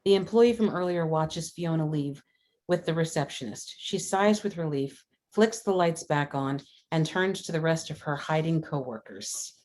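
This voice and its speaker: A woman with a low-pitched voice serves as narrator for a script read-through. She delivers scene headings and stage directions as scripted narration. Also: normal speed, darkish tone.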